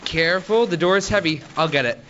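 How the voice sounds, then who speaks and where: A man's voice: on the high side, fast, bright, forceful tone, highs cut off, slight background noise. A teenage male student playing an earnest, geeky, lovestruck farm boy in a live radio play. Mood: earnest, proud. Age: teens.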